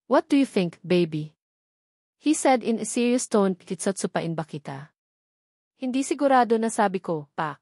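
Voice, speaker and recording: A woman's voice: medium pitch, lively intonation, moderate pace, long pauses, darkish tone, dry recording. Female romance novel narrator, dry sound. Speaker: audiobook narrator. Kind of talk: scripted first-person romance novel narration.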